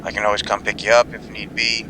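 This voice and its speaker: Man acting a low-voiced folksy police chief, noisy background. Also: completely flat delivery, lightly acted. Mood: apologetic, businesslike. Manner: halting, folksy, conversational. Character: folksy, apologetic small-town male police chief. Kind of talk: fiction podcast acting, phone message monologue.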